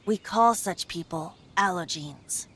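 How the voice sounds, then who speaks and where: A woman's voice: lowish voice, slow pace, a bit bright, slight background noise. A woman voicing a playful, sly youthful male bard in a video game, with a light, teasing delivery. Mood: wistful, confiding. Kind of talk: video game cutscene acting.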